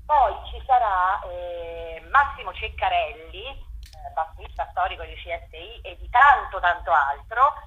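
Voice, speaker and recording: A woman curator speaks in a bright, husky voice, explaining the program at length and pausing on filler sounds as she thinks. The sound is dull and lo-fi, like an old radio broadcast. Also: on the low side, animated. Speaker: festival curator.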